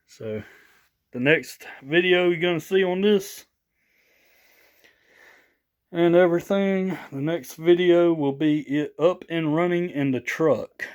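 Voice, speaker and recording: A man's voice: on the high side, slow, long pauses, clean tone. A slightly tired man, rambling casually in a folksy, unscripted show-and-tell for a vlog. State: a little tired after work. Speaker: vlogger showing off a new CB radio.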